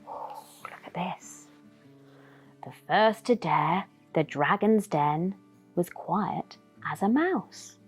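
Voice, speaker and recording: A woman's voice: lowish voice, expressive, drawn-out words, slightly rough. A woman reading a rhyming children's story dramatically, with asides to young listeners. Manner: dramatic, with gasps and whispered asides. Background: background music.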